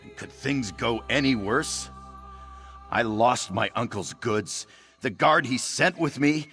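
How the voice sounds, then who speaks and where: A man's voice: medium pitch, takes it slowly, bright, forceful tone, slightly rough, soft background music, full character voice. A man voicing an anxious, self-doubting young cat-man trader in a fantasy video game, fretful and hesitant. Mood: despondent, fretful.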